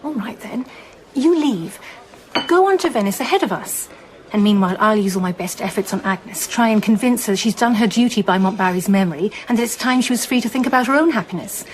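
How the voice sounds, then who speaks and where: A woman's voice: medium pitch, lively intonation, brisk, lightly acted, rough recording. An actress playing a kindly, optimistic, matchmaking married lady in a radio drama, encouraging and decisive in manner. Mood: persuasive.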